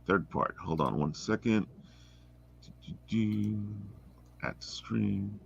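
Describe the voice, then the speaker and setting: A man's voice: lowish voice, slow, gravelly, a little hiss or hum. A man hosting a casual online chat show over a video call. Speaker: show host. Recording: dry, dull lo-fi call audio.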